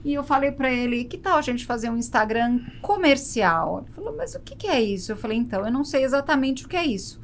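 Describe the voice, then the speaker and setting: A woman's voice: high voice, sing-song, fast, hardly any pauses. A Brazilian woman speaking conversationally as an interview guest, explaining her work. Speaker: podcast guest, travel presenter. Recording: faint background noise and ambience.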